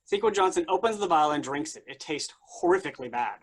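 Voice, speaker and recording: A man's voice: a little high, lively intonation, fast. A man reading stage directions aloud as the narrator of a staged play reading.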